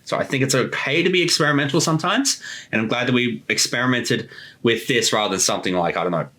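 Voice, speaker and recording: A man with a crisp, bright voice of average pitch gives casual director's commentary. He is reflective and explains things in a conversational way. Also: fast talker. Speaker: creator giving commentary. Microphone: room mic, no headphones.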